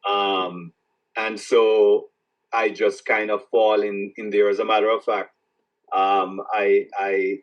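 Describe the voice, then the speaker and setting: Jamaican guy, lowish voice, kinda far from the mic and muffled, stops and restarts a lot while he thinks. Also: level tone. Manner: halting, repeats words when starting a thought. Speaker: author guest. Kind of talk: reflective interview answers.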